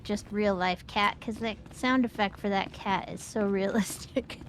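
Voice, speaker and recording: A woman's voice: mid-range voice, steady tone, measured pace. A woman chatting casually as a co-player on a game stream, with a wry, joking way of talking. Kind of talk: casual gameplay chat. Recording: clear background noise with game sounds.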